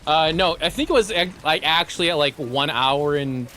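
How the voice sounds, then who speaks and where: A young man streaming live, chatting casually; he is excitable, laughs often and is losing his voice. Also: on the high side, moderate pace, a little hiss or hum. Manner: excitable, laughs often, repeats words in bursts.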